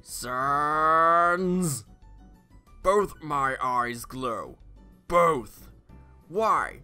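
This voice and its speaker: Man as drawn-out, whining robot diva. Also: fairly high pitch, animated, pushed and bright, clear, resonant tone, soft background music, heavily acted. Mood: despairing. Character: whining, melodramatic robot celebrity. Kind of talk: fan comic dub acting.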